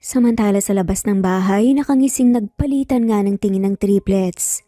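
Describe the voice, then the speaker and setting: A woman's voice: medium pitch, moderate pace, warm tone, dry recording, studio quality, soft background music. A woman with a Filipino accent narrating a scripted dramatic story. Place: dry close recording, music bed behind.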